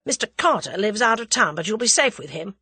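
Curt, determined young Englishman, as a woman reads him, bright and animated. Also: mid-range voice, fairly quick, slightly rough, dry recording, exaggerated voice. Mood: commanding. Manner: curt, commanding.

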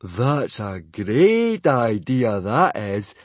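A man's voice: sits high, moderate pace, a little mellow, no echo, pitched above the performer's own voice. A man voicing a nervous, sarcastic young Viking boy.

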